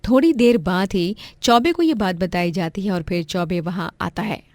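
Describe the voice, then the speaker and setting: A woman narrates a scripted moral tale. Her pitch is medium and the recording is very dry. She delivers it as a storyteller. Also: moderate pace, darkish tone, dry recording.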